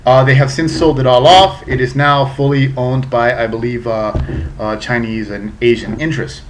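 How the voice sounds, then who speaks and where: A man in his thirties from South Florida talks casually into a webcam, reviewing a beer. He is a bit tipsy, loose and chatty, and he swears freely. His pitch sits in the middle range for a man. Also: fairly even intonation, moderate pace, slight background noise. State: slightly drunk.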